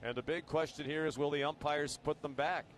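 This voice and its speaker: A man's voice: on the high side, fairly even intonation, a little fast. A man giving live commentary on a baseball game as a radio broadcaster. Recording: live ballpark broadcast, faint noise.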